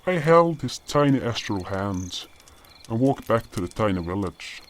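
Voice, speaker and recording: A man playing an old, gentle spirit guardian, earnestly telling his tales in an audio drama, in a measured, solemn way. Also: on the low side, sing-song. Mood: solemn, wistful. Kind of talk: audio drama first-person narration and dialogue. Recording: noisy track with cricket ambience. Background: crickets and outdoor night ambience.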